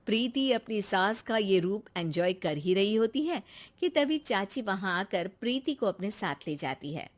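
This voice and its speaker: A woman's voice: mid-range voice, expressive, unhurried. A woman reading scripted narration for a family moral story.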